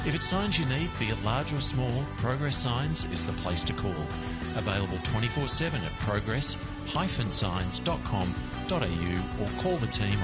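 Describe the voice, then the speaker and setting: A man reading scripted sponsor ads in an upbeat, salesy way. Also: on the low side. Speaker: ad voice-over announcer. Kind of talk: scripted radio ad read. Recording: ad over loud music. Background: music bed.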